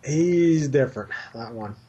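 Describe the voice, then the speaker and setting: Laid-back man with a dark, hazy voice. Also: mid-range voice, lively intonation, unhurried, breathy edge. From Canada. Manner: rambling, laughs often, swears casually. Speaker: guest YouTuber. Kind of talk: casual Skype chat. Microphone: computer mic on a voice call.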